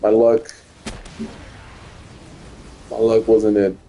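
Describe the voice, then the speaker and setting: A man's voice: fairly low pitch, little pitch movement, drawn-out words, dark tone, a little hiss or hum. A young man talking casually while playing a game on stream, rambling, stuttering and restarting his words, and swearing often. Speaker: gaming streamer. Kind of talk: casual gameplay commentary.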